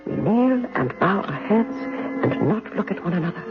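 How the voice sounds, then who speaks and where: An actress playing a devoted, resourceful general's wife in an old-time radio drama, with an earnest, measured manner. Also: female voice, mid-range voice, sing-song. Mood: discreet, worried. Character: devoted, resourceful French general's wife, anxious but practical. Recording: tinny, band-limited old radio broadcast, music.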